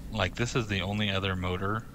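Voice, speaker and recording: A man's voice: sits low, steady tone, fast talker, fairly bright tone. A man giving casual commentary in a dry, mocking way. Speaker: critic in a played video.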